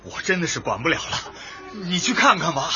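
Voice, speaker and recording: Sharp, exasperated official. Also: male voice, a little high, very animated, a little fast, heavily acted. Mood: flustered. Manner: scolding, insistent. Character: exasperated, officious deputy director of urban management. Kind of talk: radio drama acting. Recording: muffled, outdoor crowd and traffic noise.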